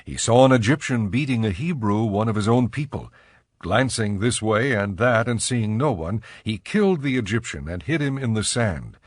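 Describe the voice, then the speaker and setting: A man narrating a dramatized scripture reading as a measured storyteller. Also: lowish voice, lively intonation, no echo, clean studio sound.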